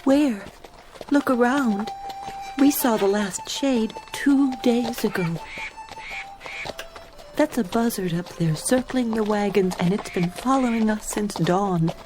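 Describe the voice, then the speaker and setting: A woman playing a weary, worried pioneer mother in a radio drama, with a plaintive, measured delivery. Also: a little high. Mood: weary foreboding.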